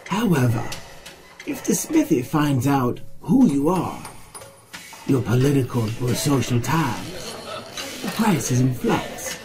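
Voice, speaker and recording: A man reading a slow, solemn, reflective story narration in measured, old-fashioned language. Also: medium pitch, very animated. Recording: music bed under the voice.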